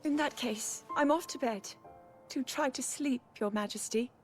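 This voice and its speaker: An actress playing a devoted, caring young princess in a video game, with a polite, formal and sincere manner. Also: female voice, high voice, normal speed, faint music behind, lightly acted. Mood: gentle concern. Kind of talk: video game cutscene voice acting.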